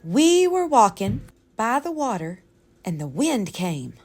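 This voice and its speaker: A woman voicing an elderly great-great-aunt who reminisces fondly and dramatically in a storybook, savoring her pauses. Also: a little high, very animated, exaggerated voice. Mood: wistful.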